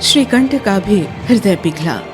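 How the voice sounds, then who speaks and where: A woman narrating a radio drama as a scripted story, in a measured and expressive way. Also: medium pitch, music in the background.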